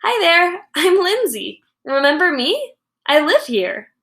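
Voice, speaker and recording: A woman's voice: very high-pitched, very animated, slow, vocal fry, sharp tone, pitched above the performer's own voice, heavily acted. A woman voicing a chatty, earnest fifth-grade girl in a children's storybook. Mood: playful greeting.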